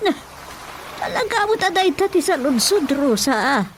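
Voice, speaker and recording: A woman's voice: high-pitched, sing-song, measured pace. A woman playing a worried, lamenting mother in an emotional radio drama. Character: worried mother lamenting to her daughter Rosa. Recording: clear background noise.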